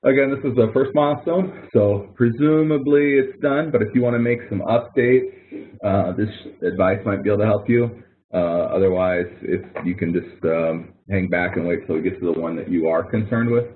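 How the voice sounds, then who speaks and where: A man's voice: fairly low pitch, normal speed. A man instructing students in an informal online webinar, explaining in a rambling, hesitant way with repeated words. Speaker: instructor running a help webinar. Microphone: online webinar audio connection. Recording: narrow, tinny online-call audio.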